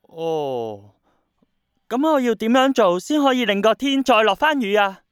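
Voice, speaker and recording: An earnest, curious young schoolboy in a radio drama. The voice is clean and clear, naive and questioning, recorded dry and close. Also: mid-range voice, big pitch swings, a little slow, dry recording. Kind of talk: Cantonese radio drama acting.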